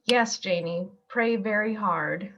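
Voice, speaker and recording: A woman's voice: mid-range voice, takes it slowly, a bit bright. A woman playing a worried, reproachful wife and mother in a radio play, earnest and firm. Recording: dull lo-fi video call audio.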